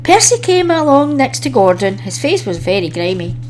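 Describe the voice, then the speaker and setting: A woman reading a children's story aloud as an expressive storyteller. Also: high voice, moderate pace, slight background noise.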